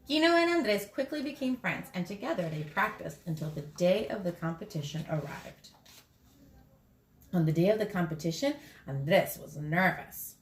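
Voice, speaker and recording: A woman reading a children's picture book aloud in a lively, expressive way for school kids. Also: medium pitch. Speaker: school story reader. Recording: echoey room.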